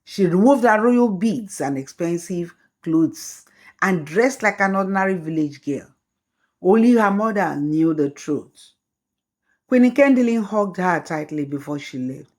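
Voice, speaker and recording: A woman telling a folktale as scripted storybook narration, in a slow, measured way. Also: on the low side, animated, darkish tone.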